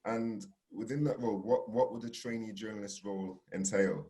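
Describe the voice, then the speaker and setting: A man's voice: lowish voice, steady tone, moderate pace, warm tone. A man hosting a panel discussion who asks questions hesitantly, with many fillers. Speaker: panel host. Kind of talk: interview questions.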